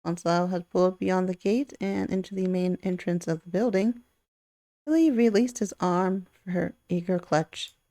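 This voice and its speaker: A woman reading a visual novel aloud in an expressive way, with chatty asides of her own. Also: on the low side, normal speed, no echo, clean studio sound. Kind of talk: playthrough narration with casual commentary.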